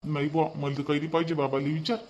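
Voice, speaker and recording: Man acting food-loving young cartoon brother-in-law, high male pitch, mellow tone, village family dialogue. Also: flat delivery, racing, muffled recording, natural voice. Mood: cheerful.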